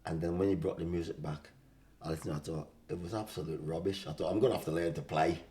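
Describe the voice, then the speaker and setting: A man's voice: low-pitched, steady tone, fast talker, dark tone. A man, chatting casually as an interview guest and telling anecdotes, often repeating himself. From West Indies. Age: 60s. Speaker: podcast guest, singer-songwriter.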